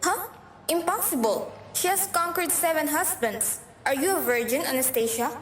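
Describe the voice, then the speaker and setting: A woman's voice: sits high, expressive, normal speed, a little hiss or hum. A woman playing a bold, headstrong, teasing young girl in a radio drama. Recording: roomy echo, faint music behind.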